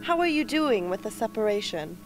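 A woman playing a brisk film director who interviews rebels in an amateur radio play. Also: medium pitch, very animated, music in the background, lightly acted.

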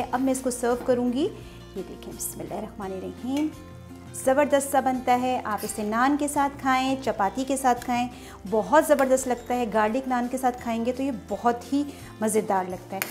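A woman's voice: on the high side, darkish tone. A woman hosting a cooking show, explaining each step chattily and often praising the dish. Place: home kitchen at the stove.

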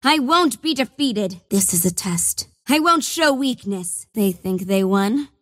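An actress playing a bloodthirsty young female warlord of a dark cult in a video game, with imperious, menacing, theatrical delivery. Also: medium pitch, expressive, unhurried, dry recording, clean studio sound. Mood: commanding, vengeful.

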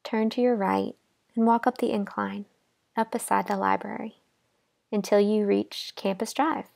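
A woman leading a guided imagery relaxation exercise in a calm, gentle, unhurried manner with pauses. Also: mid-range voice, creaky. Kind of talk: guided imagery meditation narration.

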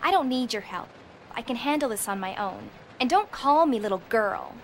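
High-voiced, earnest young policewoman. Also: animated, moderate pace. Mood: defiant. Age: young adult. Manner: firm, indignant, emphatic. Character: earnest, defiant young female police officer. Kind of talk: video game cutscene acting. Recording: loud train rumble and ambience behind.

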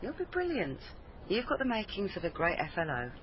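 An actress with a British accent playing a warm, encouraging police family liaison officer in a radio drama. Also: female voice, medium pitch, wildly swooping pitch, brisk. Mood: fond. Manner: affectionate, encouraging. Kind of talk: naturalistic radio drama acting. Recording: muffled radio drama, clear background noise.

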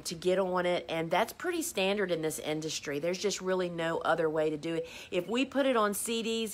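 A woman, hosting a casual live stream and answering viewer questions in a chatty, rambling, self-deprecating way. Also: on the low side. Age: 50s. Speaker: host.

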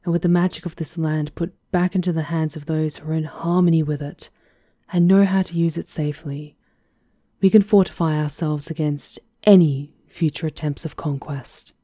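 A woman acting a composed, sly witch conspirator with a measured, knowing manner in an ASMR fantasy roleplay. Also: sits low, steady tone, dark tone, no echo, studio quality. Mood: solemn resolve. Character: composed, sly female witch conspirator plotting against a countess.

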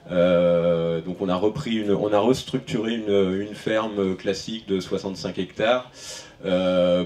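A man's voice: deep voice, darkish tone. A man in his thirties from western France, a farmer answering questions on a panel, hesitant with many fillers and restarts, speaking into a handheld mic in a large tent. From France (Maine-et-Loire). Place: large tent at an outdoor event. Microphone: handheld microphone.

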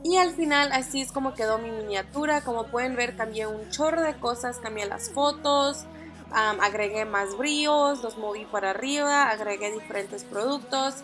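A woman's voice: a little high, moderate pace, fairly bright tone, faint music behind. A young woman whose first language is English, chatting upbeat through a screen-recorded tutorial with small fillers and restarts. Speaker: beauty vlogger.

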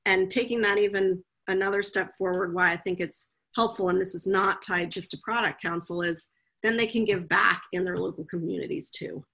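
A woman's voice: lowish voice, steady tone, brisk, vocal fry, fairly bright tone. A woman guest speaking thoughtfully and casually over a video call from home. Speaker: guest, legal professional. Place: home room, indoors.

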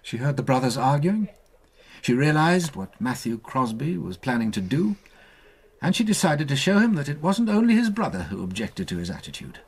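An actor playing an earnest, compassionate British gentleman doctor in a radio drama, speaking in a measured way. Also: mid-range voice, very animated, slight background noise, rough recording. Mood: grave. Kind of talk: BBC radio drama acting.

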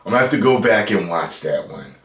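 An American man in his thirties giving casual, playful reaction commentary as a video host, loud and repeating his phrases. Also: lowish voice, animated, fairly quick. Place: small room at home, indoors. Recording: roomy, echoey home recording.

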